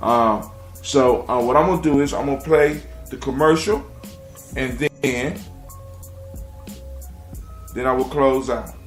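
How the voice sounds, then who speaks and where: A man's voice: medium pitch, unhurried, pauses often. Man hosting a roomy-sounding live show. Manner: informal, rambling, repeats phrases while finding words. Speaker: radio show and podcast host. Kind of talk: casual live show chat and sign-off. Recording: roomy echo, faint music.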